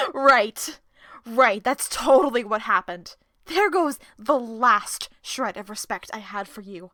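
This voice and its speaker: A woman playing a sharp-tongued, distrustful woman in an audio drama. Her voice is bright and fairly high, recorded close and dry. She is sarcastic, profane and quick to confront. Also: animated, no room sound, exaggerated voice. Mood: furious, bitter. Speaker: voice actor in an audio roleplay.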